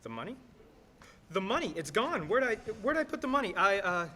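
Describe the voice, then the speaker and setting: A forgetful, easily flustered old uncle in a radio drama, acted by a man, with a high voice that swings widely. The sound is reverberant, with some noise behind it. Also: sing-song, a little fast, pushed and bright, heavily acted. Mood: distraught. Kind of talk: old-time radio play acting. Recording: noisy, reverberant stage recording.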